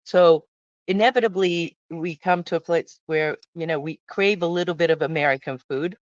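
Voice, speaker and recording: A woman's voice: on the low side, normal speed, dry recording, highs cut off. A woman hosting a travel vlog, talking casually and emphatically, often throwing out questions as she explains. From born in Hungary. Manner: chatty, emphatic, asks questions.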